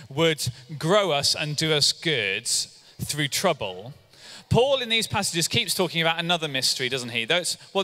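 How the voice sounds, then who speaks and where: A man in his forties giving an energetic all-age church talk on a hall stage, coaxing volunteers into a game. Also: fairly high pitch. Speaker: church leader. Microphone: handheld mic.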